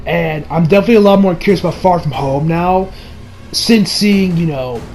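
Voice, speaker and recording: A young man giving a casual, rambling movie review as an excitable, slangy fan who trails off into asides. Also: sits high, normal speed, a little hiss or hum. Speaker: video reviewer. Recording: music behind the voice. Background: music.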